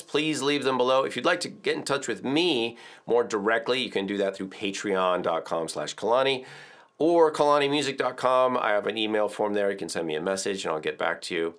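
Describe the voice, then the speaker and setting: Middle-aged male music teacher, slightly low voice, casual explaining tone, studio video lesson. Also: a bit bright. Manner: chatty, explains with filler words and counts aloud. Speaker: ukulele teacher. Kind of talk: relaxed video tutorial. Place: indoor studio with drums.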